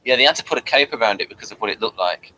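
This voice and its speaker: A British man hosting a casual review chat, moving haltingly from one point to the next. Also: fairly low pitch, expressive, sharp tone, a little hiss or hum, low-quality recording. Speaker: host of a TV review show.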